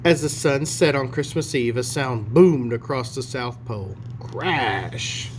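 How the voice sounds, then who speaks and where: A man reading a picture story aloud to children in an expressive storytelling style. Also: medium pitch, measured pace, slight background noise. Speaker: storybook reader.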